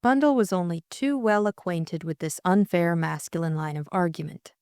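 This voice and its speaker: Female audiobook narrator, scripted storytelling, slightly low pitch, dry close sound. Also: moderate pace, a little mellow, smooth, no echo.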